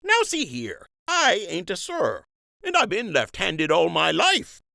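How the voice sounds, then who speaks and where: A man's voice: sits high, huge pitch swings, measured pace, gravelly, pushed and bright, no room sound, studio quality, voice pushed up, heavily acted. A man voicing a rambling, cheerful rabbit dock worker who talks in a folksy, ungrammatical country dialect.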